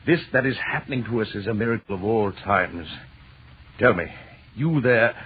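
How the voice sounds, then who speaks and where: An actor playing an awestruck, frightened and insistently curious husband, stammering urgent questions in an old-time radio horror drama. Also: male voice, fairly low pitch, lively intonation, moderate pace, lightly acted. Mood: awed, pleading. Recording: narrow-band old radio broadcast with hiss.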